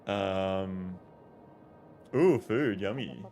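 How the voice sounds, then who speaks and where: A man's voice: low voice, extremely slow, a little mellow, faint music behind. A young Australian man casually chatting and rambling while streaming a game, swearing now and then and answering chat.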